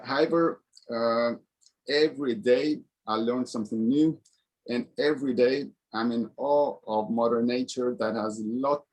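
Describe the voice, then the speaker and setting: Middle aged engineer guy from sweden, video call talk, lots of pauses, earnest. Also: mid-range voice, low-quality recording. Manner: halting, earnest, many pauses. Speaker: guest speaker, engineer. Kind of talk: online colloquium presentation.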